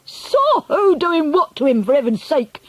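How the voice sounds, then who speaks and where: A woman voicing an angry, indignant father who blusters and shouts in an audiobook story. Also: high voice, expressive, fairly quick, dry recording, pitched above the performer's own voice. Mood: furious.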